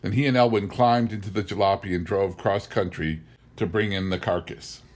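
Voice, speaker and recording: A man narrating a humorous memoir as an audiobook, with wry, measured storytelling. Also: low voice, fairly even intonation. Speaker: audiobook reader.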